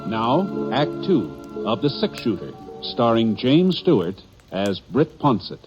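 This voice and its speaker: A man's voice: medium pitch, very animated, slow, music in the background. A man announcing on 1950s radio in a formal, earnest, emphatic scripted style. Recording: dull 1950s radio recording.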